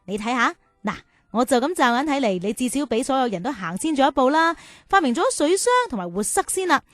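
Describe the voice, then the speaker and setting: A high young-lady voice with a very dry sound, acted by a woman. She is a brisk big-sister guide in a children's audio drama, teasing, encouraging and instructive. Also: lively intonation, no echo. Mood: reassuring.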